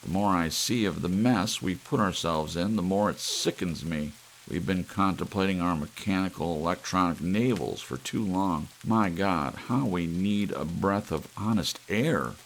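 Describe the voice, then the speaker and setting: An uneasy, stubborn father, played by a male narrator, speaks tersely and defensively. The voice is deep with a gravelly edge. Faint rain noise runs behind this storybook reading. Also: unhurried, hardly any pauses. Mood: firm, fed up. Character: uneasy, stubborn father at odds with his household. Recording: audible hiss with rain-like noise.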